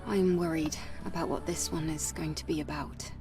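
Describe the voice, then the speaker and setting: A woman's voice: low-pitched, steady tone, moderate pace, far from the mic, music in the background. An actress playing an earnest, determined young female warrior in a video game, speaking sincerely. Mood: worried. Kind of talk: video game character acting.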